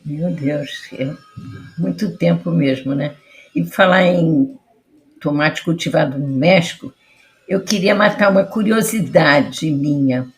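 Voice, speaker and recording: A woman's voice: low-pitched, slow pace. A woman hosting a food-history podcast, introducing guests and asking questions in a friendly way. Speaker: host.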